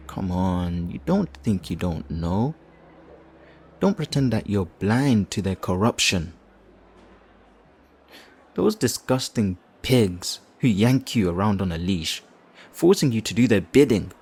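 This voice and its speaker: A man playing a flirtatious, theatrical supervillain leader in an audio roleplay, smug and righteous, with teasing, drawn-out phrasing. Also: lowish voice, a little mellow, slightly rough, exaggerated voice. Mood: indignant, impassioned. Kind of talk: audio roleplay addressed to the listener.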